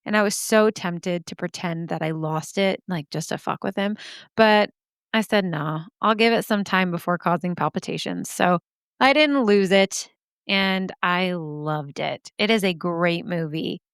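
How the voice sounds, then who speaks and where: A woman in her thirties hosting a podcast, her voice hoarse and scratchy with vocal fry, rambling through self-deprecating asides. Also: lowish voice, a little slow, fairly bright tone, no echo, studio quality. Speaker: podcast host. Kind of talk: chatty podcast intro.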